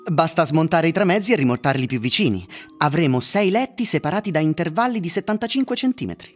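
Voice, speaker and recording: An actor playing a practical, reassuring young roommate in a radio drama. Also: male voice, on the high side, expressive, fast talker, faint music behind. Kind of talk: radio play acting.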